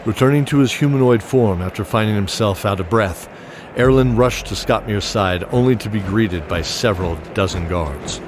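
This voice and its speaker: A man's voice: fairly low pitch, normal speed, background noise. A man reading dramatic scripted narration for a fantasy audio drama, with music and effects behind him.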